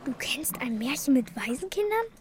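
A woman's voice: sits high, sing-song, normal speed, a loud crowd. A woman playing a cheeky, bossy orphan girl with wistful moments in a children's radio drama, speaking in a pert, colloquial way. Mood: curious, wistful.

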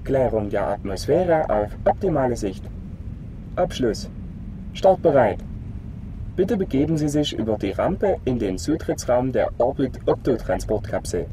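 A man's voice: mid-range voice, sing-song, measured pace, mellow, a little hiss or hum, poor audio. A man playing an impersonal computer system in a radio play, giving clipped, commanding announcements without emotion.

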